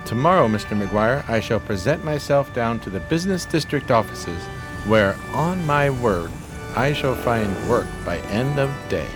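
A man's voice: medium pitch, expressive, unhurried, lightly acted. An actor playing a grandiose, dreamy homeless man with florid, theatrical speech in a radio play. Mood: resolute. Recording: music playing behind the voice.

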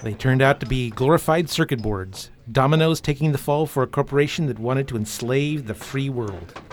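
A man's voice: mid-range voice, normal speed, a little hiss or hum, close to an everyday voice. A man playing a defensive, exasperated war veteran in an audio drama, wry and a little hesitant.

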